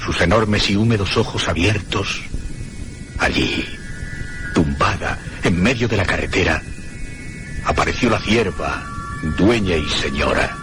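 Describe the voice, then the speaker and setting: Radio mystery narrator: haunted traveller, deep pitch, grave deliberate delivery, music underneath. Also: male voice, animated. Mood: hushed wonder. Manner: solemn, deliberate, suspenseful repetition. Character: haunted one-armed male traveller recounting mysteries, solemn, troubled. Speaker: narrator and protagonist. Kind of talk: dramatic scripted radio narration.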